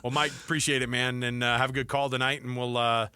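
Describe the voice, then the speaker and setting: American man, sports radio host, quick pace, bright forceful tone, chatty, dry studio sound. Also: medium pitch, little pitch movement, clear, resonant tone, dry recording. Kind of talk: conversational sports talk radio.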